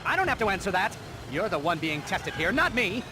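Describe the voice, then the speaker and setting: A man's voice: sits high, very animated, fairly quick, background noise. An actor playing a boastful, theatrical showman villain with a grudge in a video game. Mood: grandiose, taunting. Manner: pompous, theatrical.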